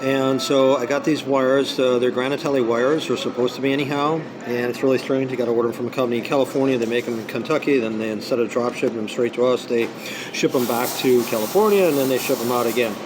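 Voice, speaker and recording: A hoarse man vlogging in a casual, rambling way with many ums and uhs, recording on a small tripod camera inside a busy coffee shop. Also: medium pitch, steady tone, quick pace. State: hoarse from singing practice. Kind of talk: casual unboxing vlog. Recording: noisy coffee shop with music playing. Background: background music and cafe noise.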